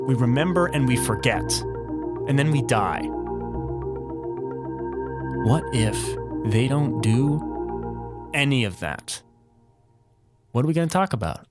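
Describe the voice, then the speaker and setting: An abrasive, argumentative space station officer in an audio drama. He lectures emphatically, laying out hypotheticals in a mid-pitched voice. Prominent music plays underneath him. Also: expressive, slow pace, long pauses. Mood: intense, insistent. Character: abrasive, argumentative male second-in-command aboard a space station.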